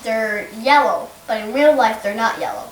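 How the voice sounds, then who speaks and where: A woman's voice: fairly high pitch, normal speed, echoey room, a little hiss or hum, rough recording. A young female student at home discussing a story with her teacher, thoughtful and a little hesitant. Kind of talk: casual classroom discussion.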